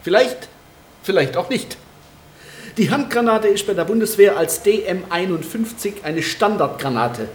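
A man's voice: sits high, lively intonation, normal speed. A man playing a detached, pedantic forensic lab expert explaining his specimens in a radio drama. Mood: explanatory. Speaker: supporting actor. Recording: roomy echo, faint background noise.

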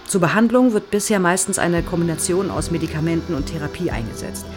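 Actress as low-voiced, eager research psychologist. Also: female voice, expressive, fast talker, over music, lightly acted. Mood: polite, explaining. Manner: polite, explanatory, keenly interested. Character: eager, probing female research psychologist, no longer young. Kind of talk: naturalistic audio drama dialogue.

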